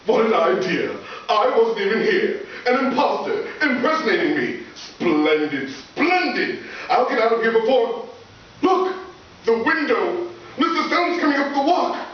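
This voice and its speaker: A man playing a blustering, excitable school principal who schemes, in a comic live radio play. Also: very high voice, a little slow, exaggerated voice. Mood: panicked scheming. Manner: pompous, excitable. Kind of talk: comic old-time radio play acting. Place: live stage performance before microphones at a distance. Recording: echoey hall from far off, dull, hissy.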